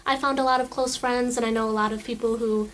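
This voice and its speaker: A woman's voice: on the high side, fairly even intonation, fast talker, a bit bright, a little hiss or hum, rough recording. A teenage girl, a student actor, talking in a casual, upbeat interview with many fillers. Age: teens.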